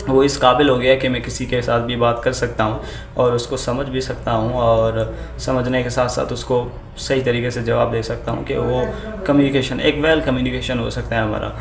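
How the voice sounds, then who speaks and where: A young man with an Urdu accent, a native Urdu speaker, giving language-learning advice in a halting casual vlog style full of fillers and restarts, switching between English and Urdu. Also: medium pitch. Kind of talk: casual talking to camera. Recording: echoey room with faint ambient noise.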